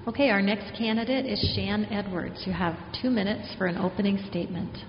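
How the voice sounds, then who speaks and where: A woman moderates a candidate forum, brisk and businesslike in a mid-range voice. She sounds through a dull mic in a large echoing hall with a low hum behind.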